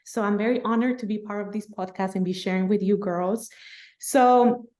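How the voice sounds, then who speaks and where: Lively woman from Guatemala, in her thirties. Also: medium pitch, normal speed. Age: 30s. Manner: lively, laughing, animated storytelling. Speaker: podcast guest. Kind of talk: casual storytelling interview.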